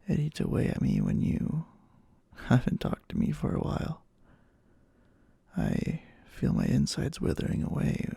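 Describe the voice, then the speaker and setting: A man playing a clingy, obsessively devoted boyfriend in an intimate ASMR roleplay, speaking haltingly in a breathy half-whisper. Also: on the high side, mellow, dry recording, full character voice. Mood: aching, needy. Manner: halting, slow, hesitant.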